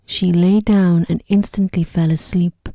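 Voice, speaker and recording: A woman reading a sleep story in ASMR style, with a soft, whispery voice and a gentle, hushed delivery. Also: lowish voice, fairly even intonation, a little slow, dry recording.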